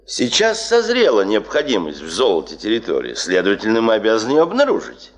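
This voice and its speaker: An actor playing a stern, demanding chief of a geological administration, making a formal, deliberate speech in a 1970s Soviet radio play. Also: male voice, lowish voice.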